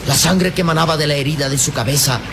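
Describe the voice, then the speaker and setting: A man with a Latin American Spanish accent narrating an old-fashioned radio serial dramatically, solemn and suspenseful, with deliberate phrasing and pauses. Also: fairly high pitch, vocal fry. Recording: old radio drama with storm noise behind.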